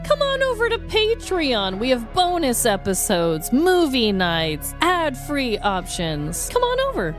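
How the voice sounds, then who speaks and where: Animated, high-pitched American woman. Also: a little slow, few pauses, faint music behind. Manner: animated, informal storytelling with emphatic exaggeration. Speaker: podcast co-host. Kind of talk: casual comedic true-crime chat.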